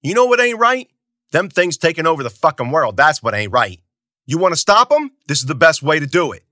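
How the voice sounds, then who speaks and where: A loud, bullying male camp boss barks and swears in a high, strained, forceful voice, put on by a man reading a story. His pitch jumps all over as he shouts down the other man, dry and close. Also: very animated, fairly quick, no echo. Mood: yelling angrily. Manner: yelling, profane, domineering.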